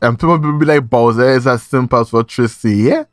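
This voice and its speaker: A man's voice: medium pitch, a little fast, dry recording, studio quality. A man with a Trinidadian accent hosting a podcast in casual review chat, speaking emphatically and repeating phrases for effect. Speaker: podcast host.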